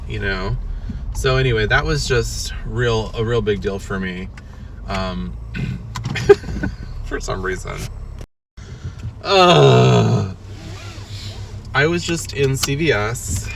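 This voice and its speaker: A man's voice: fairly low pitch, vocal fry. A sniffly man, hosting a podcast. He tells a rambling, self-mocking story in a casual solo monologue and laughs often. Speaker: podcast host. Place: in a car. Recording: distant mic, road and traffic noise.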